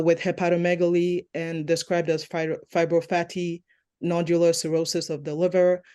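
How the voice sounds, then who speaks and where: An endoscopy fellow presenting a medical case in a steady, clinical delivery. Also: female voice, on the low side.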